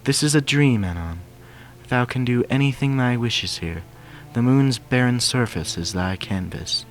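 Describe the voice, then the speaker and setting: A man voicing a gentle, regal female pony princess, soft-spoken and affectionate, with archaic courtly speech. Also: medium pitch, animated, a little slow, soft background music, pitched above the performer's own voice, close to an everyday voice. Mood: tender.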